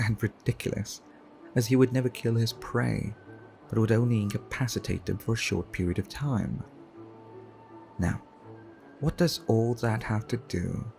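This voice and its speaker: Guy doing a suave brooding vampire for asmr roleplay, gravelly voice, formal and slow, music playing behind. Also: lowish voice. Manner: slow, formal, theatrical storytelling. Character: suave, seductive male vampire artist, brooding and courteous. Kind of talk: intimate ASMR roleplay addressed to the listener. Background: background music.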